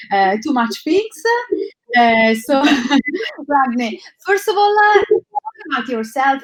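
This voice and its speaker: A woman's voice: a little high, no echo, low-quality recording. A woman hosting an interview, chatting casually and asking questions with many fillers. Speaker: interview host.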